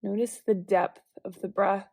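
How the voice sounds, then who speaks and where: Slow, mellow-voiced woman guiding meditation. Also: medium pitch, vocal fry, dry recording. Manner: calm, gentle, measured cueing with long pauses. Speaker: guided meditation leader. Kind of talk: guided visualization meditation, instructional.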